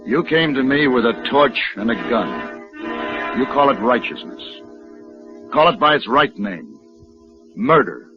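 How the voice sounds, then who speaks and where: An actor playing a cool gentleman gunfighter in a 1950s radio western, with a terse, dry, authoritative delivery. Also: medium pitch, big pitch swings, unhurried, pauses often. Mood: stern, accusing. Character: cool, commanding gentleman gunfighter of the Old West. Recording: dull old radio recording with music.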